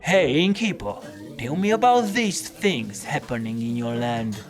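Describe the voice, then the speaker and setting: An actor playing a wry, weary folk-hero detective in an audio drama, speaking in a measured, deliberate way. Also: male voice, mid-range voice, big pitch swings. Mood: dry, curious. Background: music.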